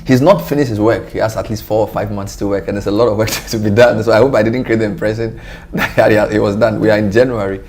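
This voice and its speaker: A man with a Ghanaian accent speaks as a television interviewer. His voice is fairly low and his delivery is conversational. There is faint noise in the background. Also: fairly even intonation, quick pace, few pauses, darkish tone. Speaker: TV interview host.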